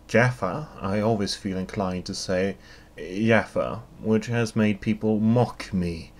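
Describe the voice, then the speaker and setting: Dry-witted Swedish man, low voice. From Sweden. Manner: dry, wry and deadpan, fast and wordy. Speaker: vlogger answering viewer questions. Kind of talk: solo Q&A monologue.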